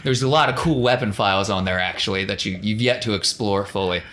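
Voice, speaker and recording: A man's voice: on the low side, fast, noisy background. A man narrating a tabletop role-playing game as game master, describing scenes in a conversational, improvised way.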